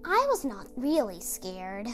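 A woman voicing an excitable, boastful young boy from a storybook with dramatic exclamations. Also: mid-range voice, takes it slowly, soft background music, voice pushed down. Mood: defiant.

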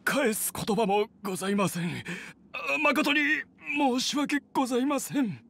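An actor playing a deferential elderly clan head in an anime game, humble and submissive, with a gravelly, husky voice. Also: male voice, very high-pitched, expressive, a little slow, fairly bright tone, heavily acted. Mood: cowed, apologetic. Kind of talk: Japanese anime game voice acting.

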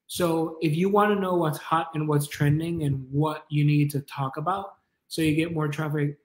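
A man in his thirties with a Jamaican accent, giving a casual live tutorial in an informal, encouraging, rambling way. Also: on the high side. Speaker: online business coach and livestream host. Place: kitchen or living room at home, indoors.

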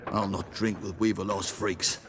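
An actor playing a threatening, gravelly tavern thug in a fantasy video game. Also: male voice, deep voice, steady tone, normal speed, background noise, lightly acted. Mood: menacing, scornful.

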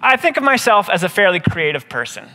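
A man in his thirties, a pastor giving a measured, scripted sermon with deliberate phrasing. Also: high-pitched, very animated, pushed and bright. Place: indoor stage, seated at a table. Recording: reverberant hall.

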